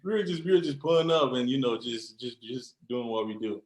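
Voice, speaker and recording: A man's voice: medium pitch, animated, a little fast. A young man from Cameroon, a football player, chatting casually as a podcast guest with lots of fillers and restarts. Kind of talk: casual interview. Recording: roomy echo.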